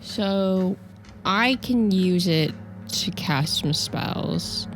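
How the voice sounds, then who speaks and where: A woman's voice: mid-range voice, lively intonation, very slow, a little hiss or hum. A woman playing a hesitant agent who carefully explains a magic item in a tabletop audio drama.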